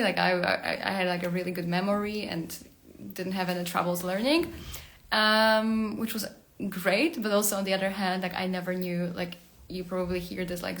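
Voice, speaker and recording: A woman talks through a casual livestream into her phone. Her mid-pitched voice has a gravelly fry at the ends of phrases. She rambles, full of fillers and restarts. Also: fairly bright tone. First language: Polish. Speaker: career coach hosting a live stream. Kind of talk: casual live-stream monologue.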